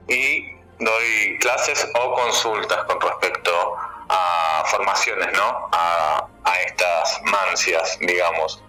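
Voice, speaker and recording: Male interview guest, Buenos Aires accent, very bright, forward voice, conversational radio delivery, faint music behind. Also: fairly low pitch, rough recording. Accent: Buenos Aires Argentine. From Buenos Aires, Argentina. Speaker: guest, tarot card reader and teacher.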